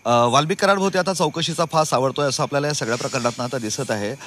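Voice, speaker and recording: Very fast-talking man reporting news. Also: medium pitch, fairly bright tone. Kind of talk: live unscripted news report.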